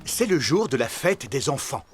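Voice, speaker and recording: A woman narrating a French cartoon in a calm storybook manner. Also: a bass voice, moderate pace. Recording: with loud background music.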